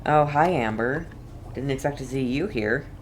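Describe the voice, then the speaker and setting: A woman's voice: rumbling low, normal speed, slight background noise. A woman streaming a game, giving casual, understated offhand reactions in brief remarks. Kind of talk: casual let's-play reactions.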